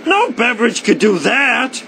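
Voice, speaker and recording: A man's voice: very high-pitched, big pitch swings, measured pace, gravelly, sharp tone, a little hiss or hum, exaggerated voice. A man playing a dim, sloppy, melodramatic barfly drunk in a cartoon game, overwrought in manner. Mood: dismissive. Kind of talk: animated game cutscene acting.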